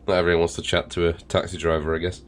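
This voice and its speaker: Brief: congested man, low voice, rambling casual game commentary. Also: monotone, quick pace. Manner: rambling, with fillers and swearing. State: nasal and congested, recovering from illness. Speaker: let's play host. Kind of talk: casual gameplay commentary and reading game text.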